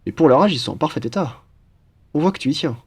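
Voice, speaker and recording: A man's voice: a little high, expressive, fast talker, a little mellow, husky. A young man playing an upbeat, cheeky student roommate in a French roleplay, with a playful, slangy, enthusiastic manner. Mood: casual, friendly. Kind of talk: casual French roleplay acting.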